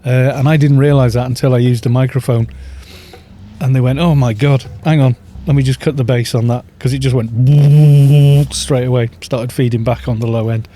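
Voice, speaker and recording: A mellow, soft-spoken man, chatting loosely through a good studio microphone at home while he plays. His talk rambles along with small chuckles, and a faint ambient hum sits behind him. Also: mid-range voice, steady tone, normal speed. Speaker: livestream gamer. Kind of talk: casual chat while gaming, reading chat.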